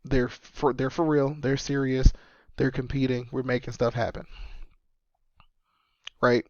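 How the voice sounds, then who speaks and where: A man's voice: medium pitch, level tone, measured pace, a little mellow, no room sound, highs cut off. A man in his thirties hosting a casual solo sports podcast, talking emphatically and repeating his points for stress. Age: 30s. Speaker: podcast host and sports fan.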